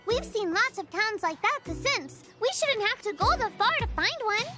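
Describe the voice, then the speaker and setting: A woman voicing a small, childlike, excitable creature in an animated video game, squeaky, with quirky misplaced words. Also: normal speed. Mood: matter-of-fact. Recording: loud music behind the voice.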